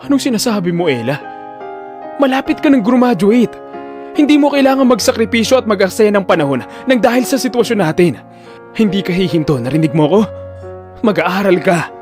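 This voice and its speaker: A man acts out an emotional young son in a radio-style drama, fiercely protective of his family. His pitch sits fairly high and leaps about, and the close, dry voice has music under it. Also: expressive, brisk, a little mellow. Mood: firm, agitated. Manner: emotional, pleading, confrontational. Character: John Ray, anguished, fiercely protective young working-class son.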